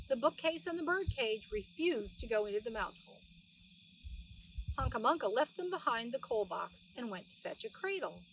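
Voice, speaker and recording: A middle-aged woman narrates a children's storybook in a fairly high, highly expressive voice. She speaks simply, as if to young children. The recording sounds slightly distant, with faint noise behind her. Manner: animated, explaining things simply for young children. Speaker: children's read-aloud narrator. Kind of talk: expressive storybook reading with plain asides to explain words.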